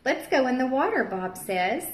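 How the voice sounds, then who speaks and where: A woman in her thirties, a teacher, reading a picture book aloud to young children and doing bright voices for the characters. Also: high-pitched, big pitch swings, moderate pace, noisy background. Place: home room, seated at a table with a child. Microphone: camera at table distance. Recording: echoey room.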